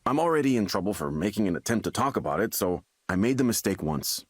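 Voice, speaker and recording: An anguished young man in a video game, low in pitch and recorded very dry. He speaks in agitated, halting bursts, repeating himself, desperate to be normal. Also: very animated, no echo. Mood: resigned, pleading.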